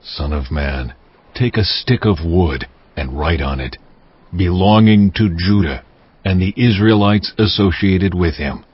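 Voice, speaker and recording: An actor playing God in a dramatized scripture reading, speaking solemnly and deliberately, in a commanding, declamatory manner. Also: male voice, sits low, slightly rough, no echo. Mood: solemn, authoritative. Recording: dull muffled audio with music behind. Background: music.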